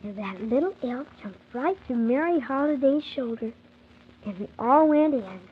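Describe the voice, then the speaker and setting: A performer playing Tim, a polite young boy telling his story with earnest, animated wonder on 1940s children's radio. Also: sits high, normal speed. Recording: muffled, hissy 1940s radio transcription.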